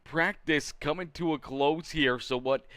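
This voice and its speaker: A man commentating a live sim racing league, energetic, with frequent fillers and restarts while fighting off a cold that leaves him congested and slightly nasal. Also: on the high side, unhurried, clean tone, no room sound.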